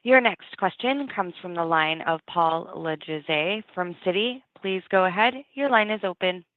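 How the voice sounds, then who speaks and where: A woman's voice: fairly low pitch, a little slow, no echo. Female conference operator on a phone line. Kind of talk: scripted call announcements. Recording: narrowband phone line, dry.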